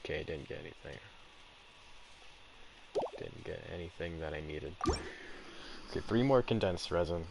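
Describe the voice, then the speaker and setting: A young man giving casual gameplay commentary, rambling and halting as if talking to himself. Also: low-pitched, animated, creaky, noisy background. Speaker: gaming video creator. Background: loud game music.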